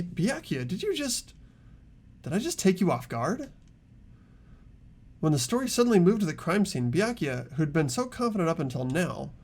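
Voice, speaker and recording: Man playing an earnest, highly animated schoolboy detective. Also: vocal fry, heavily acted. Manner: tentative, reasoning aloud. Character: earnest, reasoning teenage boy student detective. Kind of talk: amateur game dub acting.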